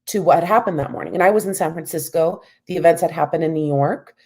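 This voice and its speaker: American woman guest, low warm voice, reflective, conversational. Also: fast talker, slightly rough. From United States. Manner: thoughtful, frequent "you know". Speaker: guest academic. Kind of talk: interview answers.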